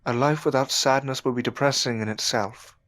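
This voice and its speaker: A man's voice: mid-range voice, steady tone, brisk, a bit bright, close to an everyday voice. A man playing a self-doubting novice magician, desperate to succeed, in an audio drama, with formal, old-fashioned phrasing. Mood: resolved. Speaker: voice actor in an amateur audio drama.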